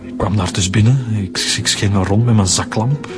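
A man's voice: lowish voice, moderate pace, music in the background, close to an everyday voice. Man voicing a Flemish suspect, weary, bitter, radio drama, mid-range voice, faint score behind. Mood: resigned. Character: middle-aged man confessing to a killing.

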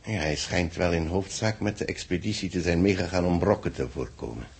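A measured, thoughtful male chronicler with a deep, creaky and very husky voice. He speaks deliberately, in the style of a 1960s radio serial on an old recording. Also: darkish tone, exaggerated voice. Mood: musing. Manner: musing, deliberate. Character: thoughtful, measured man reviewing and narrating a space expedition. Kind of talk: 1960s radio drama acting.

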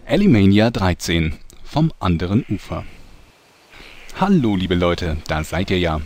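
Guy as knowing soap storyteller, really low voice, mock dramatic, noisy recording. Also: big pitch swings, a little slow, a little mellow. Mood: mock-solemn. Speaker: narrator. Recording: clearly noisy amateur recording.

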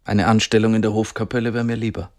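An actor playing a polite, wistful 18th-century violinist in a radio drama, soft-spoken. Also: male voice, on the low side, flat delivery, fast talker, dry recording, clean studio sound, lightly acted.

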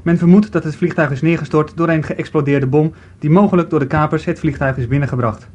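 A man's voice: fairly high pitch, fast talker, darkish tone, highs cut off, natural voice. A man playing a formal newsreader giving a radio bulletin in an amateur radio play. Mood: grave, formal. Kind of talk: news bulletin reading.

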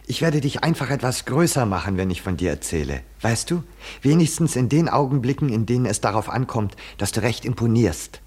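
A man's voice: medium pitch, lively intonation, a little fast, a little mellow, husky. An actor playing a condescending, eccentric male admirer of a hero, familiar and theatrical, in 1950s German radio drama style. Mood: rapt, patronising.

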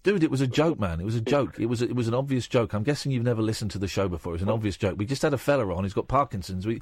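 A man hosting a late-night radio phone-in, joking with callers in a teasing, deadpan way. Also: rapid-fire, no room sound. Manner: teasing, deadpan jokes, swings from low mock-gloom to high protest. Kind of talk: late-night call-in banter.

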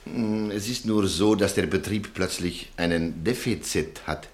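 An actor playing a calm, soft-spoken male extortioner who coaxes and pressures in a measured way, in a radio play. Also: sits low. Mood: coaxing. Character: smooth, calm male extortioner named Walter. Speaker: radio drama character.

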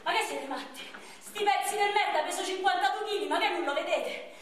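A woman performing a theatrical monologue as a battered, frail young victim, with a Roman accent and halting, repetitive, coarse speech. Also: extremely high pitch. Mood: anguished, outraged. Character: battered frail 31-year-old Roman victim, raging and wounded. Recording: echoey stage, distant mic, background noise.

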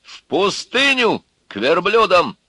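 A man doing a loud, pushy drinking pal. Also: high voice, very animated, husky, dry recording, pitched above the performer's own voice, heavily acted. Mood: angry. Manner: loud, insistent, quick to curse.

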